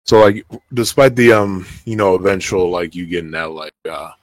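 A man with a very deep voice asks a long question in a casual chat, circling around it with hedges and fillers. His sound is dry and close to the mic. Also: normal speed, no echo. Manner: rambling, hedging, many fillers. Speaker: YouTuber asking a question.

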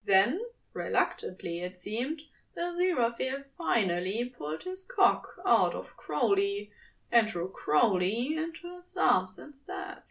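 A woman's voice: a little high, big pitch swings, a little slow, creaky, fairly bright tone, recorded from a distance. A woman reading scripted fan fiction as its narrator.

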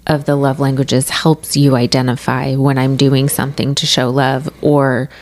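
A woman's voice: rumbling low, flat delivery, normal speed. A woman hosting a podcast, reading her intro and survey figures in an organized way and steering the chat with questions. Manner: organized, reads stats and prompts. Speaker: podcast host.

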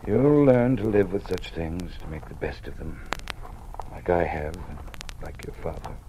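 A weary, fearful old dean. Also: male voice, sits low, unhurried, warm tone, low-quality recording. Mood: confiding. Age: older. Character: weary older male dean, fearful, cautious. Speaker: college dean. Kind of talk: 1950s radio drama acting. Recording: old radio broadcast with faint hiss.

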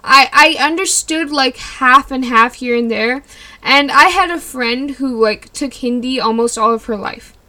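Young female podcaster, higher and bright tone, loose rambling chatter, giggles often. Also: unhurried. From California. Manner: rambling, giggly, lots of likes and you knows. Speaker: podcast host. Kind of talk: casual solo podcast chat.

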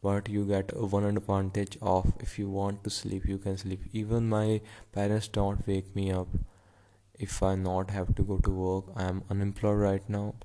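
A nineteen-year-old man, rambling casually at home in a halting way, full of fillers and restarts. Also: sits low, monotone, dark tone. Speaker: vlogger.

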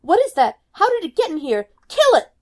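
A woman's voice: on the high side, expressive, fairly quick, creaky, dark tone. Woman putting on a syrupy, scolding mother. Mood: shrieking. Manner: condescending, falsely sweet. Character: sugary controlling mother, middle-aged woman.

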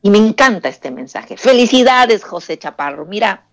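A woman hosting a radio chat show, reading listener messages aloud and joking along. She sits at a mid pitch, and soft music plays low behind her. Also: expressive, normal speed. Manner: warm, playful, reads listener messages aloud.

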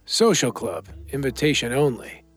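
An actor playing a streetwise, commanding gambler in trouble, giving clipped orders in a noir audio drama. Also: male voice, mid-range voice, big pitch swings, normal speed, husky, soft background music. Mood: guarded, instructing.

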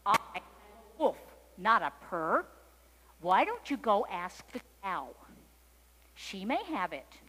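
A woman voicing a startled, blunt farm dog in a storybook, with loud barks. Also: mid-range voice, big pitch swings, takes it slowly, pauses often, long reverb tail, exaggerated voice.

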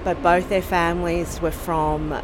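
An Australian woman from Sydney, speaking in a casual, thoughtful interview and pausing often with ums and restarts. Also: lowish voice, little pitch movement, noisy background. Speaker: podcast host being interviewed.

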